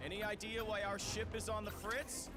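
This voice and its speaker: An actor playing a flippant young space outlaw who leads a team in a video game, with a wry, casual, exasperated manner. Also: male voice, high voice, monotone, normal speed, lightly acted. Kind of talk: animated video game cutscene acting. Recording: loud music behind.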